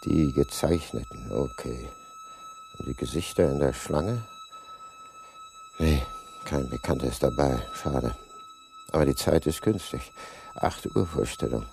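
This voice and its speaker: An actor playing a furtive, coolly calculating husband in a German radio crime play, often muttering clipped thoughts to himself. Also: male voice, very high voice, wildly swooping pitch, a little slow, pauses often, exaggerated voice. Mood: impatient, watchful. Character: furtive, tense husband, polite in public, coolly calculating murderer. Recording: noisy 1980s radio drama production.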